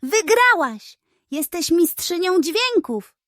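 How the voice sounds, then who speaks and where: A woman voicing a sly, scheming, excitable male pug in a children's storybook, with a theatrical, flattering manner. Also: very high-pitched, takes it slowly, dry recording, clean studio sound, voice pushed up, full character voice. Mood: thrilled.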